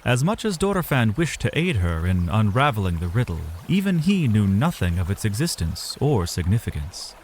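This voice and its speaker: A man's voice: on the low side, big pitch swings, moderate pace, hardly any pauses, darkish tone, a little hiss or hum. A man narrating a scripted fantasy story as a literary, dramatic storyteller.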